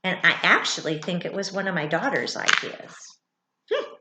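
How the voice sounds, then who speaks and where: A middle-aged woman reads a children's book aloud like a teacher, expressively, pausing for asides and questions to young listeners. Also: on the low side, very noisy. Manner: expressive, with dramatic gasps and thoughtful hums. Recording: roomy, echoey indoor sound.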